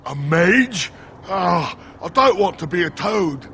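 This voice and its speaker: A man's voice: sits high, lively intonation, bright, forceful tone, slight background noise, exaggerated voice. An actor voicing a dim, timid bandit henchman in a fantasy video game, hesitant and sniveling. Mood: fearful. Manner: slow-witted, hesitant, sniveling.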